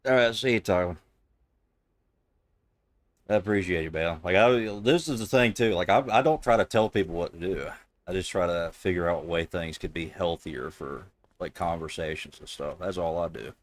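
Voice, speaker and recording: A man with a deep, gravelly voice, hosting a casual chat with friends in a joking, mock-serious way. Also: moderate pace, no room sound. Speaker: streamer host.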